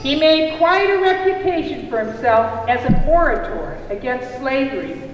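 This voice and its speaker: A woman playing a kindly motherly cafe keeper in a live puppet show, telling tales in a deliberate, expressive way. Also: sits high, background noise. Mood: earnest recounting. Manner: deliberate, expressive storytelling to children. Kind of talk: live storytelling puppet theatre. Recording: echoey hall from a distance, music behind.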